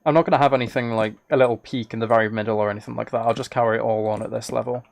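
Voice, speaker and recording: A man with a mid-pitched voice and plenty of fry chats casually as he streams a game. He thinks aloud in hesitant, polite fragments, trailing from one small thought to the next. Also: steady tone, racing, slight background noise. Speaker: live streamer. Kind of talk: casual livestream chat while playing a video game.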